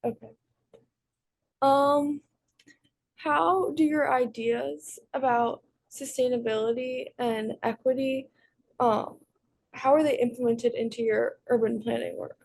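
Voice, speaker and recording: A teenage girl with a slightly high voice and a gravelly fry. She asks interview questions hesitantly, stopping for ums and letting her phrases trail off. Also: fairly even intonation, takes it slowly, a little mellow. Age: teens. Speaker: student interviewer.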